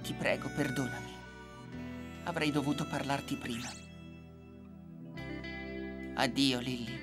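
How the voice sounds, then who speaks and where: A woman voicing a grumbling but soft-hearted male cyborg cat in a cartoon dub, expressive and self-pitying. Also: a bass voice, unhurried, over loud music. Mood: lovelorn, regretful. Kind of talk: Italian cartoon dub acting.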